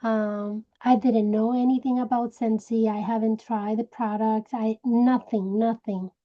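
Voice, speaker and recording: A woman from Puerto Rico with a Puerto Rican Spanish accent, Spanish her first language, telling her personal story slowly and searching for words. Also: a little high, little pitch movement, lo-fi sound. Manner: slow, searching for words, many restarts. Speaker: direct sales director. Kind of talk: personal story told to a group.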